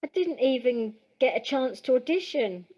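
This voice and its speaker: An actress playing an earnest, wounded young stage hopeful, speaking plainly and from the heart in an audio play. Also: female voice, high voice, expressive, moderate pace, dark tone, muffled recording. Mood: wistful.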